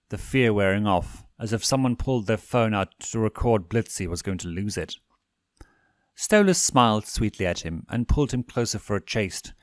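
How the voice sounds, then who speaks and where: A man narrating a scripted story in an expressive audiobook style. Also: fairly low pitch, no echo, studio quality. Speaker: fan fiction reader and narrator.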